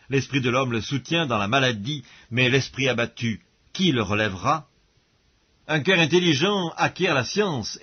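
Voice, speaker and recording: Husky man reading scripture, dull recording. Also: medium pitch. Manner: measured, formal diction. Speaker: Bible audiobook narrator. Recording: dull, lo-fi audio.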